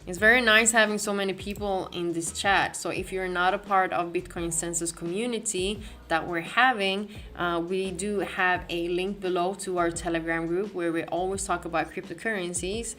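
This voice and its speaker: A woman's voice: on the low side, steady tone, normal speed, hardly any pauses, pushed and bright. A woman from Sweden with a Swedish accent, hosting a live crypto market stream, talking casually with many restarts and fillers as she reads the chat. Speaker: crypto livestream host.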